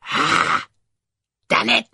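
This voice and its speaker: A man voicing a scrawny, mad cave creature that cackles and rants in a shrill, gloating voice for an audiobook. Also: drawn-out words, gravelly, bright, forceful tone, husky, full character voice. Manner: ranting, gloating. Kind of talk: audiobook character voice.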